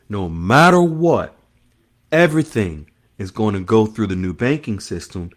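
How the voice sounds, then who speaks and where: A man hosting an online finance news monologue, speaking in short emphatic phrases and repeating key terms as he explains them to followers. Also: mid-range voice. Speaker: host of an online currency news channel.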